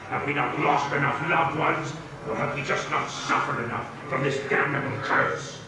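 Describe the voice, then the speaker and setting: A man's voice: medium pitch, very noisy. An actor playing a fiery, commanding male rebel leader in a video game, delivering theatrical, rhetorical oratory. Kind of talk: scripted video game acting. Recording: echoey, distant, under loud music.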